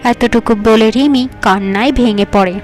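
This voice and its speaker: Female storyteller for fairy-tale cartoon narration, higher-pitched, faint music bed. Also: normal speed.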